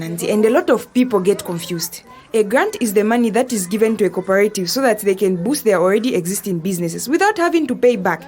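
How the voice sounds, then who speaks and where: A woman with a Zambian accent playing a bright, respectful young woman who patiently explains things in an educational audio drama. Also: a little high, natural voice.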